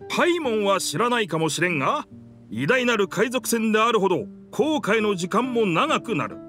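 An actor voicing a proud, dramatic talking pirate ship in an anime video game, in pompous, rough masculine speech. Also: male voice, sits high, very animated, unhurried, pushed and bright, heavily acted. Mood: boastful. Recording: music behind the voice.

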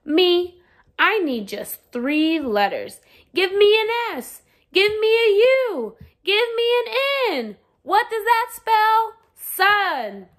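A woman's voice: extremely high pitch, very animated, slow pace, fairly bright tone, voice pushed up, exaggerated voice. A woman voicing a boastful, playful, self-important Sun from a children's picture book. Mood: showy, excited. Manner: bragging, showy. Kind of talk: children's storybook read-aloud.

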